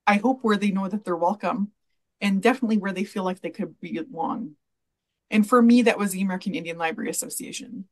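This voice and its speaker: A middle-aged woman answering interview questions over a video call from a room at home, speaking in an earnest, measured way with many "you know"s. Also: medium pitch. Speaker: guest, library association president. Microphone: video call webcam.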